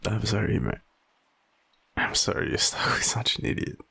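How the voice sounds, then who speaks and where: An Australian man playing an overwhelmed, devoted, self-deprecating partner in an intimate audio roleplay, speaking haltingly with sighs. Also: fairly low pitch, sing-song, creaky, bright, forceful tone, breathy edge, heavily acted. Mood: apologetic, hesitant. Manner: halting, hesitant, sighing.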